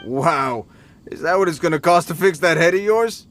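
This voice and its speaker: An actor playing a sneering bandit thug in a video game, mocking and slangy. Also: male voice, a little high, expressive, moderate pace, close to an everyday voice. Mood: mocking, hostile.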